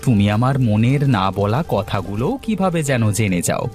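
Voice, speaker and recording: A man's voice: on the low side, very animated, moderate pace, a little mellow, faint music behind. A man playing an affectionate, dutiful elder son in a fairy-tale cartoon. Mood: cheerful, fond. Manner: eager, affectionate.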